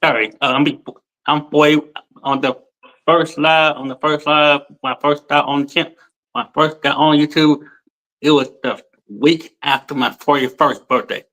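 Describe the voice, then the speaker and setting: A man's voice: a little high, steady tone, normal speed, pushed and bright, low-quality recording. A man in his forties, a guest telling his story in casual chat, rambling and repeating himself, with informal colloquial speech, over a video call. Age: 40s.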